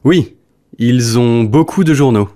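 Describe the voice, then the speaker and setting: A man with a medium-pitched voice reads brief scripted replies. Each is delivered as an answer option in a language exercise. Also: slow, warm tone. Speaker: voice reader for listening test. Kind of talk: scripted short answer reading.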